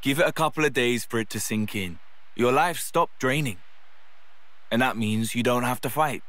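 An actor playing a laid-back, cheerful young British fighter in a video game cutscene, speaking in a breezy, colloquial, reassuring way. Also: male voice, medium pitch, moderate pace, fairly bright tone, natural voice. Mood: firm, reassuring.

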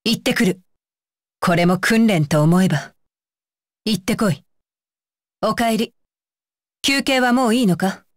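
A woman's voice: mid-range voice, a little slow, long pauses, dry recording, studio quality, lightly acted. A woman voicing a stern, dutiful young female ninja bodyguard for an anime game, with clipped, commanding and blunt phrasing. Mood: firm, composed. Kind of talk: Japanese anime game voice lines.

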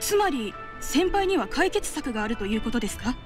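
Earnest, high-voiced junior clerk. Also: female voice, sing-song, brisk, over music. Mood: troubled. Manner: deferential, formal, earnest. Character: earnest, troubled young female junior clerk. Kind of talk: anime game voice acting.

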